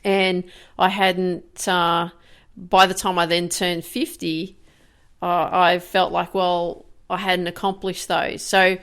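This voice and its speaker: Gravelly-toned woman in her fifties, candid. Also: lowish voice, little pitch movement, a bit bright. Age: 50s. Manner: candid, halting, many restarts and fillers. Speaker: podcast host. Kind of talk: reflective personal monologue.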